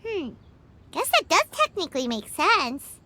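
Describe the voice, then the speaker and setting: Tiny game fairy, extremely high childlike squeak, animated intonation, chirpy, curious, chatty. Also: female voice, a little slow, slight background noise, heavily acted. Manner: speaks of herself in the third person, perky. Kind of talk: video game character acting. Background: music.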